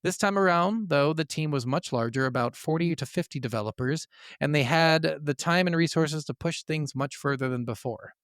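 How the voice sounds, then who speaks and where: A man's voice: a little high, moderate pace, a bit bright, no echo, clean studio sound. A man co-hosting a gaming podcast, moving between narration and casual, blunt, sweary chat. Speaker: podcast co-host.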